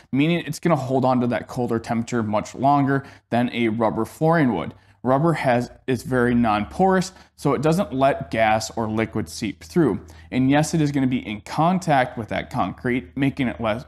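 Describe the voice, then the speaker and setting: A young man giving an informal explainer to camera as the host of a how-to video, recorded in a garage. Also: mid-range voice. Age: young adult. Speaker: host of a home gym tips video. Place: indoors in a garage converted to a gym.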